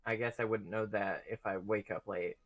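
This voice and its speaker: A man's voice: on the low side, steady tone, moderate pace, lo-fi sound, a loud crowd. A man chatting casually as a player in a tabletop game. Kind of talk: casual chat.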